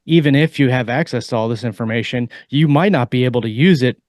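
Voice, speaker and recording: A man in a casual podcast discussion. His delivery is halting as he works through his explanation. The sound is dry, with hardly any room on it. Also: mid-range voice, darkish tone, no room sound. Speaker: podcast co-host. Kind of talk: casual discussion of military technology.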